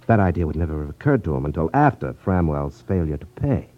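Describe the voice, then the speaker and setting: An actor playing a confident, wry detective in a 1950s radio drama, explaining things with self-assurance. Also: male voice, rumbling low, big pitch swings, quick pace. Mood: wry confidence. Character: confident, wry male ex-magician rackets detective. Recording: muffled 1950s radio recording.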